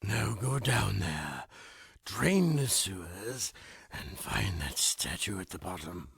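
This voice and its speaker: An actor playing a sly, greedy underworld crime boss in a video game, with a thick gravelly rasp and a drawling, grumbling delivery. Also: male voice, deep voice, sing-song, fairly bright tone, no room sound, heavily acted. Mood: greedy, coaxing. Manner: slow drawl, punctuated with questioning "eh?" tags. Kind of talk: video game cutscene acting.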